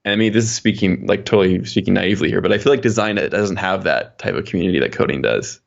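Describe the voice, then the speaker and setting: A man with a lowish, gravelly voice talks at a rapid clip in a casual interview. He rambles informally as he explains things. The recording is dull and lo-fi, like muffled call audio. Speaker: podcast guest, software engineer.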